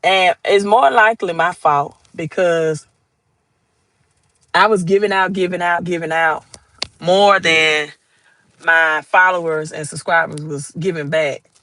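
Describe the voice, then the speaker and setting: Slow-talking Southern woman on a livestream. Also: on the low side, steady tone, sharp tone, no echo. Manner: chatty, reads out viewer comments, greets viewers by name. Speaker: crypto livestream host. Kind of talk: casual livestream chat. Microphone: phone held vertically.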